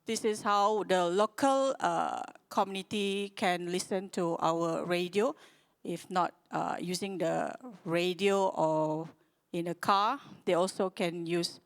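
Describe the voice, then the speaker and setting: A woman with a Malaysian accent giving a conference presentation in a halting, hesitant way. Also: on the low side, creaky. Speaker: conference speaker from a radio broadcaster.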